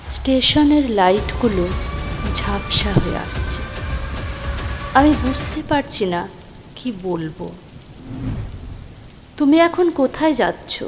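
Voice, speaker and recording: A woman playing a stranded, concerned young woman who narrates her own mystery story, emotive and suspenseful, with deliberate pauses. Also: on the high side, animated, music in the background. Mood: stunned, wistful. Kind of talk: scripted first-person dramatic story narration. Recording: voice over rain and thunder ambience.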